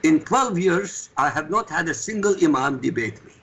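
A man's voice: a little high, expressive, moderate pace, creaky, fairly bright tone, husky. A man with an Iraqi Arabic accent, a native Arabic speaker, talking as a radio guest in an emphatic, insistent way that lectures and cuts in. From Iraq. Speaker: guest commentator. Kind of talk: heated radio talk.

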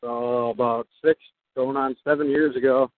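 A man's voice: medium pitch, fairly even intonation, moderate pace. A man in his twenties from Wisconsin answering interview questions in an easygoing, plain-spoken way with fillers. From Wisconsin, USA. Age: 20s. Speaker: guest fighter. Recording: tinny phone line.

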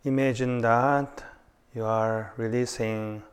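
Very slow, even-voiced male meditation guide. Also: medium pitch, clear, resonant tone. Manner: calm, unhurried, short phrases with long pauses.

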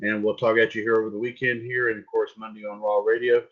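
A man's voice: on the low side, level tone, fast talker, narrow, tinny audio. A man hosting a casual wrestling talk show, rambling and repeating words and filler as he talks. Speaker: podcast host.

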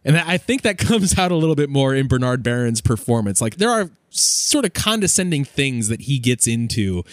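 A man's voice: mid-range voice, sing-song, normal speed, no echo, studio quality. A man hosting a podcast, talking conversationally through a pop culture discussion. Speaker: podcast host.

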